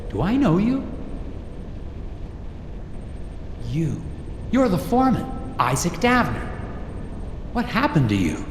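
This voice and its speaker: An earnest investigator in a puzzle game, his fairly high voice swinging widely in pitch. He sounds inquisitive and puzzled, often talking to himself, over faint noise. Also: heavily acted. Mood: bewildered. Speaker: voice actor in a puzzle adventure game. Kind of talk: animated game dialogue and narration.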